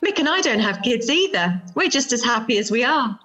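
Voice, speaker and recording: A woman's voice: on the high side, big pitch swings, a little fast, pushed and bright. A woman playing a breezy, teasing, opinionated married woman in a radio play, speaking with emphasis. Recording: video call recording with music.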